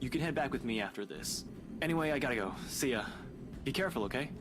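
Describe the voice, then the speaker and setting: A man's voice: mid-range voice, animated, quick pace, very noisy, natural voice. A man voice acting a casual, familiar character in a visual novel, with music behind him. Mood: casual, caring. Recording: game music over dialogue.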